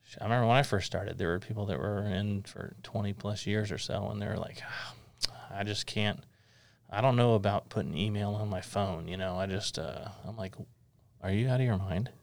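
A man's voice: on the low side, fairly quick, creaky, dry recording. A middle-aged man hosting a casual interview podcast in an office studio, rambling a little with lots of fillers. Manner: rambling, friendly, many fillers. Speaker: podcast host.

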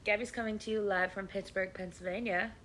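A woman's voice: medium pitch, steady tone, a little fast, fairly bright tone, a little hiss or hum. A young woman co-hosting a podcast in casual chat, a bit sniffly from allergies, chatty and laughing. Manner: chatty, laughing, drops to a whisper for secrets. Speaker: podcast co-host.

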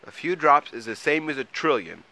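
A man's voice: a little high, expressive, normal speed, sharp tone, a little hiss or hum, voice pushed up. A man voicing a vain, fussy, fretful hairy troll in a children's story, with whiny, dramatic complaining.

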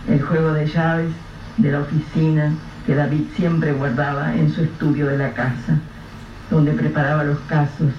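A man giving measured, literary narration for a radio drama. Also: a little high, flat delivery. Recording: distant, roomy, dull, noisy radio-play recording.

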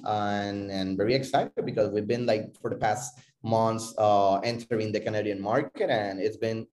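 Low-voiced Puerto Rican man, echoey room. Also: warm tone. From Puerto Rico. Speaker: interview guest, tech company CEO. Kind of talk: conversational interview answers about own business. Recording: roomy, echoing voice recording.

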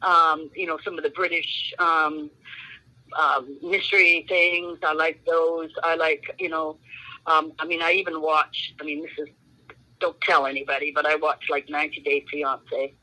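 A woman's voice: deep voice, little pitch movement, normal speed, pushed and bright, low-quality recording. A woman, a guest author chatting in an interview, rambling and full of fillers like um and you know. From Canada. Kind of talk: casual interview chat.

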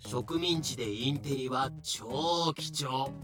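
A man's voice: medium pitch, slow pace, over music. A man reading scripted explanatory narration as the narrator of an audio drama.

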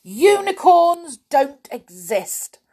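A woman voicing a stubborn, huffy little lady from a children's storybook, snappish and flatly contradicting. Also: medium pitch, takes it slowly. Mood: huffy, dismissive.

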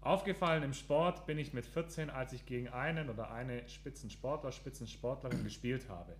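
A man hosting a casual podcast quiz, reading out clues in a steady, deliberate way. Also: mid-range voice, a little hiss or hum. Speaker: quiz host.

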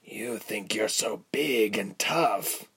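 A man voicing a sinister commander in an audiobook, coarse and breathy, speaking slowly with quiet, cold derision. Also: fairly low pitch, exaggerated voice. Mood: cold menace. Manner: slow, drawling, quiet and derisive. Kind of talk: audiobook character voice.

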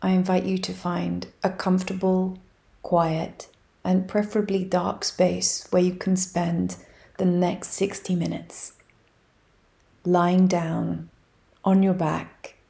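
A woman guiding a yoga nidra meditation, speaking softly and evenly with long pauses. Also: lowish voice, gravelly. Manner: slow, soft and even, with long pauses. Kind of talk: guided meditation.

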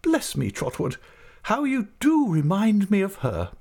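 A stern, decisive elderly aunt, as a male narrator puts her on in a storybook reading. She speaks high and emphatically, with a firm, commanding air beneath her fondness. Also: huge pitch swings, a little slow, vocal fry, darkish tone, exaggerated voice. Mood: brisk, emphatic. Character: brisk, stern but fond elderly aunt, a woman. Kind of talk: audiobook character voice.

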